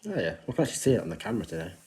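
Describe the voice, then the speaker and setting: A man's voice: on the low side, very animated, very fast, dark tone, recorded from a distance. A man behind the camera giving short prompts and asking questions during a home live stream. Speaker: camera helper. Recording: roomy, noisy.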